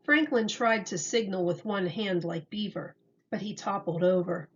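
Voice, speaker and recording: A woman reading a children's story aloud like a teacher, in a deliberate, expressive way. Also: medium pitch, highs cut off, a little hiss or hum.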